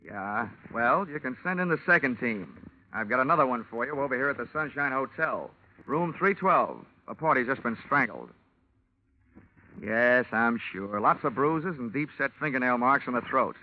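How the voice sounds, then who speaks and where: A man's voice: medium pitch, animated, moderate pace, lightly acted. A man playing a wisecracking radio detective. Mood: clipped. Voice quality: wisecracking, dry. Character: hard-boiled male insurance investigator, wry. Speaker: detective lead and narrator. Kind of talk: 1950s radio drama acting. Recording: muffled old radio recording.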